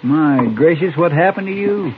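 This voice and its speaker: A man's voice: on the high side, expressive, normal speed, lightly acted. A man playing a loyal, grumbling frontier deputy, folksy and querulous, with a rural American accent in a 1950s radio western. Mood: mild, concerned. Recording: muffled, noisy vintage radio recording.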